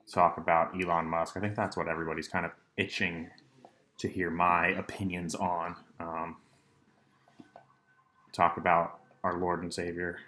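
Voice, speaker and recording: A young man with a deep, low-pitched voice talks alone on his podcast. He rambles from one aside to the next in a dry, deadpan way, filling the gaps with plenty of ums. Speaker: solo podcast host. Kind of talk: rambling solo podcast chat.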